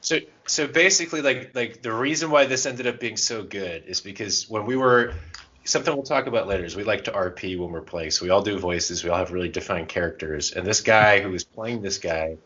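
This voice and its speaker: A man co-hosting a podcast, telling gaming anecdotes in a casual, animated, self-mocking way with some loose swearing. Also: lowish voice, fairly quick, lo-fi sound. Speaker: podcast co-host.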